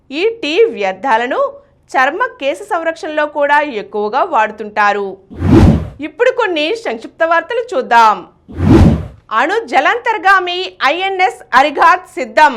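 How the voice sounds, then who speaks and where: A woman's voice: sits high, fairly bright tone. A woman reading scripted news as a bulletin presenter. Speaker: news reader.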